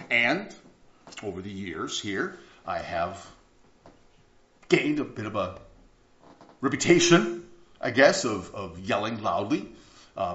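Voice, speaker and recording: A male pastor delivers a loose, conversational sermon. His voice rises and falls in a lively way and he often stumbles and starts over. The recording is a bit dull and carries the roomy echo of a church. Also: mid-range voice. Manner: animated, chatty, with stumbles and restarts. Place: church sanctuary. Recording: echoey church room, slightly dull sound.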